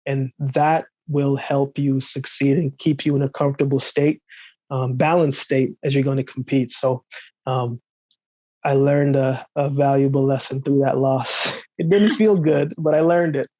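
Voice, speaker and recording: A man's voice: medium pitch, fairly even intonation, a little slow, a little mellow, dry recording, muffled recording. A man from Jamaica telling stories as a podcast guest, easygoing and laughing often, often checking in with "right?". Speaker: podcast guest, former sprinter. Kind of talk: conversational storytelling.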